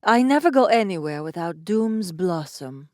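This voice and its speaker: A woman playing a cold, no-nonsense warrior in an audiobook drama, speaking flatly, firmly and curtly. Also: on the low side, normal speed, dry recording, studio quality, close to an everyday voice. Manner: flat, firm, curt. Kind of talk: cinematic audiobook character acting.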